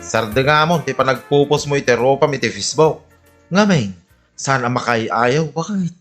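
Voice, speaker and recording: A man's voice: medium pitch, lively intonation, normal speed, a bit bright, soft background music, lightly acted. A man playing a nagging, scolding husband with an Ilocano Filipino accent in a radio comedy drama. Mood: admonishing. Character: nagging husband scolding his vain wife.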